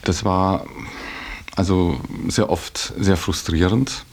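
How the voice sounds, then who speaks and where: A man giving reflective interview answers in a hesitant, halting, thoughtful way. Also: low voice, fairly even intonation.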